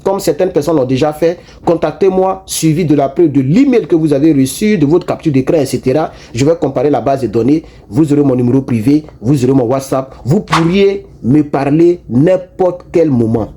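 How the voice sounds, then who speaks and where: A man giving casual political commentary to his online viewers, animated and repetitive, with frequent restarts. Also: on the high side, moderate pace, hardly any pauses. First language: not French.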